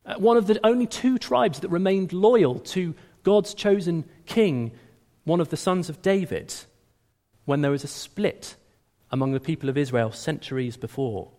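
A man preaching a sermon in a conversational, animated way, often restarting his words. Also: on the high side, normal speed. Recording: long hall reverb.

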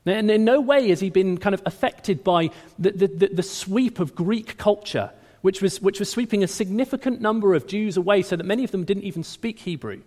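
A man preaching a sermon in a conversational, animated way, often restarting his words. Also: sits high, a little fast, few pauses. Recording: long hall reverb.

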